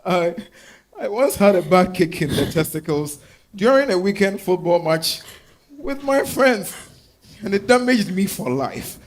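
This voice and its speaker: A man playing a guilty, pleading husband in a stage drama reading, halting and emotional. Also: high-pitched, animated. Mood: ashamed. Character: guilty, pleading infertile husband confessing his lies. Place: live stage at a literary festival.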